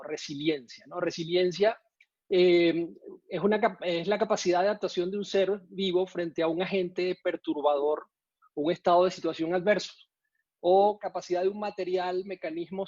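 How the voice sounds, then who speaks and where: A man's voice: high-pitched, a little mellow, no echo, lo-fi sound. A Venezuelan man giving an online conference talk, hesitant, with many fillers and restarts. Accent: Venezuelan Spanish with some Mexican usage. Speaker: conference speaker. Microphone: video call.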